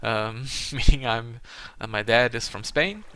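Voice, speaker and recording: A man's voice: mid-range voice, bright, forceful tone, clear, resonant tone, dry recording. A man from Germany with a German accent and German as his native language, chatting casually and hesitantly as he hosts a gaming video, rambling along with self-conscious asides. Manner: hesitant, halting, chuckles at himself. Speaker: Let's Play gaming video host.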